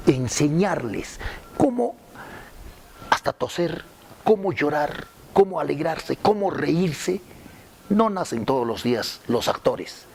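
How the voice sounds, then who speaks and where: A man's voice: medium pitch, creaky, darkish tone, a little hiss or hum. A man with a Peruvian Spanish accent speaking expressively, as an acting coach giving an interview, often repeating himself for emphasis. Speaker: acting coach and radio drama actor. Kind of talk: interview testimony with acted demonstrations.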